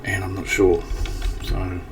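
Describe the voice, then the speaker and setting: Distant low-voiced man, slightly scratchy. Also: completely flat delivery, slow pace. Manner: rambling, thinking aloud. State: early-morning, slightly scratchy voice. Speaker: gaming channel host. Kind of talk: casual gameplay commentary. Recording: distant mic, faint background noise.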